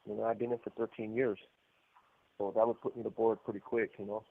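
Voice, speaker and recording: A man's voice: fairly low pitch, level tone, fast, slightly rough. An American man calling from prison, telling his own story candidly over the phone. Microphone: telephone. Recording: muffled narrow-band prison phone line.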